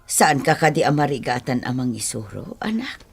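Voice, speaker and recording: Worried filipino mom, radio drama, deep for a lady, husky, very expressive. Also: moderate pace, heavily acted. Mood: caring. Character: gentle caring middle-aged mother worried about money. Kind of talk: radio drama acting in Ilocano.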